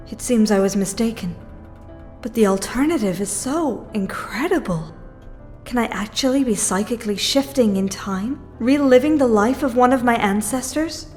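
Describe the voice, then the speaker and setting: Woman acting troubled young heroine, higher-pitched voice. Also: a little mellow, close to an everyday voice. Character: troubled, gentle young telepath heroine, inwardly afraid. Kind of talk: audio drama acting.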